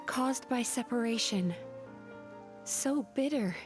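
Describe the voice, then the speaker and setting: A soft, earnest young psychologist from a video game, with a notably high voice. She speaks in measured, reflective phrases, gently and sincerely. Faint music sits underneath. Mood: tender, reflective. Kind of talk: scripted video game character acting.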